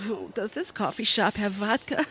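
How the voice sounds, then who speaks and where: A woman's voice: mid-range voice, expressive, normal speed, a bit bright, slightly rough. A woman playing a sardonic, bitter friend in a comedic audio drama.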